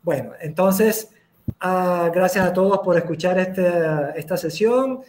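A man's voice: high-pitched, measured pace, a little mellow, a little hiss or hum. A man hosting a video call debate, moderating in a friendly, hospitable way. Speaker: host.

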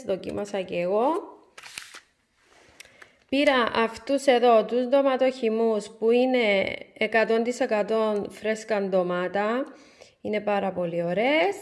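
A woman's voice: medium pitch, a little hiss or hum. A woman, chatting to camera and showing her groceries one by one in a casual home vlog. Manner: chatty, warm sign-off, item-by-item show and tell. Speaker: vlogger. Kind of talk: casual supermarket haul vlog. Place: bright room by a window, indoors.

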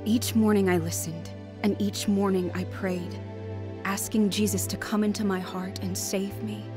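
A woman playing an earnest, anxious young wife seeking faith in a radio drama, heartfelt and expressive. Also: mid-range voice, unhurried, music in the background, lightly acted. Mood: reflective narration. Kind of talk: radio drama acting, dialogue and narration.

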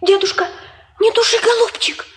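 A woman's voice: very high voice, steady tone, normal speed. An actress playing a frightened, curious little peasant girl in a Soviet radio drama, pleading and naive.

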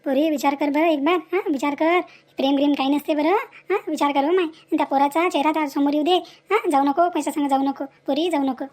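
A scolding, motherly village woman from a cartoon moral tale , acted out by a woman. Her voice sits extremely high and moves quickly. She speaks in rural Varhadi Marathi, driving her reproaches home with emphatic rhetorical questions. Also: fairly even intonation, darkish tone, close to an everyday voice. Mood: pleading. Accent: rural Maharashtra, Varhadi Marathi. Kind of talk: dramatized dialogue for a cartoon moral story.

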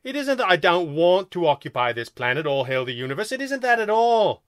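A man's voice: sits high, very animated, brisk, dry recording, clean studio sound, voice pushed up. A man voicing a sulky, petulant male alien underling who protests and pouts in a story reading. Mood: protesting. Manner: protesting, pouting, emphatic.

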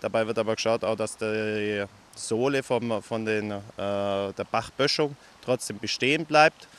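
A man's voice: on the low side, a little slow, a bit bright, a little hiss or hum. A young man explaining work on site in an interview outdoors, hesitant with many fillers, into a handheld mic. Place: outdoors among trees. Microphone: handheld reporter mic.

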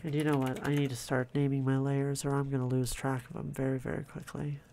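A man quietly narrating his own drawing process, in a soft-spoken, calm way, thinking out loud. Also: medium pitch, a little fast, vocal fry, slight background noise. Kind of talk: casual relaxed commentary over drawing.

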